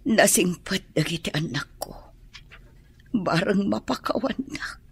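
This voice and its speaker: An older mother in a radio drama, weak and sick. Her voice is very husky and breathy, faltering with regret. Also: medium pitch, lively intonation, slow, exaggerated voice. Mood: weak, remorseful.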